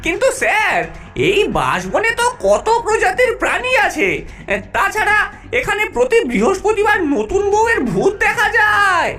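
A woman voicing a centuries-old fish-ghost alien secret agent in a cartoon, excitable and soft-hearted, dramatically exclaiming and pleading. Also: very high-pitched, normal speed, music in the background. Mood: nervous pleading. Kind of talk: animated cartoon voice acting.

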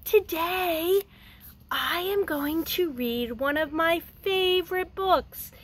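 A woman in her thirties, a preschool teacher, reading a picture book aloud to young children outdoors in a gentle, expressive voice. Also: extremely high pitch, slow pace. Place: outdoors in front of a house.

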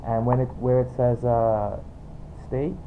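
A man with a Trinidadian accent giving an informal, halting software tutorial, explaining off the cuff and repeating himself. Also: mid-range voice, fairly even intonation. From Trinidad and Tobago. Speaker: tutorial presenter. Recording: tinny phone-like home recording, background noise.

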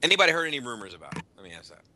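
A man's voice: mid-range voice, very animated, very fast, bright, forceful tone, no room sound. A man hosting a casual panel podcast, chatting about hobby news with some swearing. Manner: profane. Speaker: podcast host. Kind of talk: casual chat, panel discussion of toy news.

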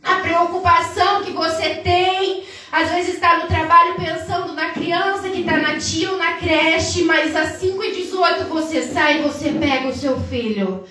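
A young Brazilian woman preaching a Pentecostal sermon in a sing-song, fervent cadence that climbs to shouts, recorded from far across a large tiled church hall. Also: very high voice, measured pace. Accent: Brazilian Portuguese. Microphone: far camera across the hall.

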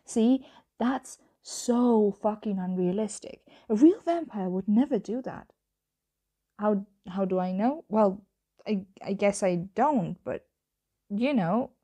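A woman playing a tired, secretive vampire roommate in an ASMR roleplay, speaking softly with hesitant, evasive stammers. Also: mid-range voice, lively intonation, slow pace. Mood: casual, reluctant. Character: flustered young female vampire roommate, tired, hungry, secretive. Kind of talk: ASMR roleplay, soft conversational acting.

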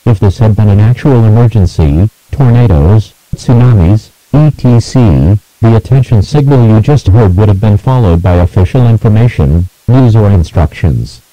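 A man's voice: fairly low pitch, lively intonation, normal speed, few pauses, mellow, no room sound, poor audio. A man reading a scripted emergency broadcast test announcement.

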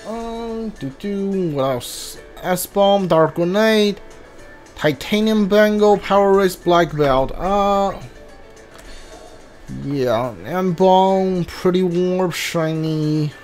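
Slow, halting male game streamer. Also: high voice, darkish tone. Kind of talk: casual playthrough commentary, reading on-screen text aloud. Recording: game music behind the voice. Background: video game music.

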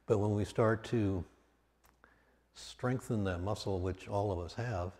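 A man guides a meditation in a mellow voice that rings through a long reverb. He speaks very slowly and calmly, setting out short phrases with long pauses between them. The delivery is unscripted and measured. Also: lowish voice. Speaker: meditation teacher. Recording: long reverberant hall sound.

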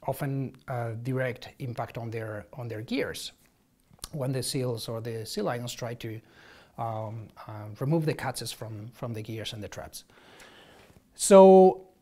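A man, answering interview questions as a marine ecologist, halting and restarting often. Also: mid-range voice, mellow. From Spain. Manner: halting, many restarts and ums, gestures while explaining. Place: office with shelves, indoors. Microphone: lapel.

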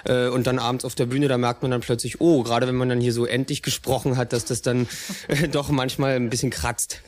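A man speaks quickly in a medium-pitched voice with little rise and fall. The talk is casual and unscripted. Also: little pitch movement. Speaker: voice actor.

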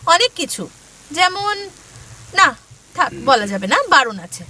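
A teasing young newlywed wife from a radio play, played by a woman. Her very high, bright voice swings widely. She talks in a coy, playful way. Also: sing-song, slow, heavily acted, poor audio.

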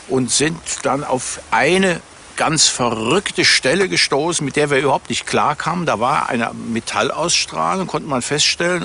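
A man's voice: on the high side, fairly bright tone, a little hiss or hum. A man from Germany talking spontaneously as a researcher in a documentary interview.

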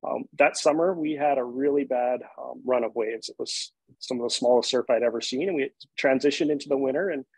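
A man in his forties from Hawaii calmly telling a personal story as a panel guest over a video call. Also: mid-range voice, brisk, vocal fry, slightly rough, no room sound, rough recording. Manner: calm, steady storytelling with fillers. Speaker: guest, shark activist.

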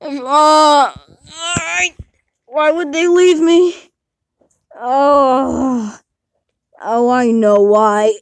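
A woman voicing a crazed man who hunts his family through a haunted hotel, in a lowered, taunting, menacing voice. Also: steady tone, drawn-out words, long pauses, dry recording, heavily acted. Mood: despairing. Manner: menacing, taunting, deep put-on voice.

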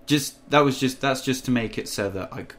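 A young man with a sore throat, slightly hoarse and nasal, chatting casually through a game, restless with sudden bursts of excitement. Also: mid-range voice, fairly quick. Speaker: gaming video creator. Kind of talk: casual gameplay commentary. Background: game music and mains hum.

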